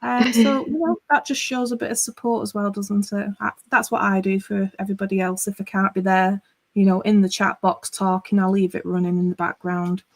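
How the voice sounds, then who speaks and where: A woman's voice: mid-range voice, little pitch movement, a little fast. Chatty woman from northwest England. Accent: northwest English. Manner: chatty, rambling, many fillers. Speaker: livestream host. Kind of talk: casual chat.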